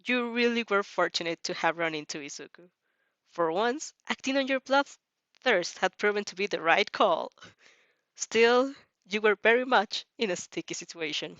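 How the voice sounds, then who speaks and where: A woman narrating fan fiction as an amateur, excitable and giggly, stumbling over words and reacting as she goes. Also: medium pitch, moderate pace, dry recording, dull audio.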